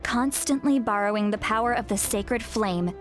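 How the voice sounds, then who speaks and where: A woman's voice: mid-range voice, over loud music, lightly acted. Woman acting a solemn, self-assured oracle shaman. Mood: matter-of-fact. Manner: measured, formal, authoritative. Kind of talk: video game character acting.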